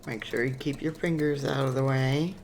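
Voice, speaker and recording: A woman with a raspy, hoarse voice from a cold, hosting a chatty step-by-step crafting tutorial with frequent ums. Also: very deep voice, fairly even intonation, normal speed, a little mellow, a little hiss or hum.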